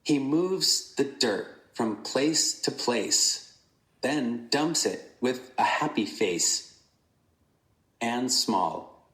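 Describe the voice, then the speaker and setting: A man's voice: mid-range voice, lively intonation, drawn-out words, fairly bright tone. A man reading rhyming children's picture book text as a scripted narrator. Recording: echoey playback from device speaker.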